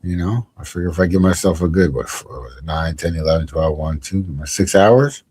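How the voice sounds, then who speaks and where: A man's voice: deep voice, brisk, darkish tone, slightly rough. A man hosting a comedy podcast, chatting casually in a rambling, joking way. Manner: rambling, jokey, restarts a lot. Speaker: podcast host.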